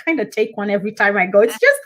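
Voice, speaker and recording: A woman's voice: mid-range voice, very animated, fast, a bit bright. A woman from Kenya, a Kikuyu speaker, chatting as a guest and explaining at length with frequent ums. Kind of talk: casual interview.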